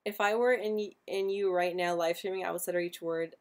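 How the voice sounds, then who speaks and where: A woman's voice: on the low side, fairly even intonation, a little fast, darkish tone. A young woman hosting a casual live stream, talking with a frequent stutter that repeats sounds and words. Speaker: livestream host answering viewer questions.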